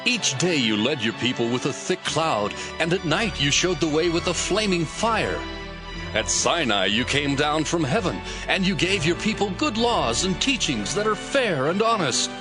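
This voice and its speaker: An actor playing an impassioned Levite praying in a solemn, oratorical dramatized scripture reading. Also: male voice, a little high, big pitch swings, normal speed, over music. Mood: solemn awe. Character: impassioned male Levite recounting God's deeds in prayer.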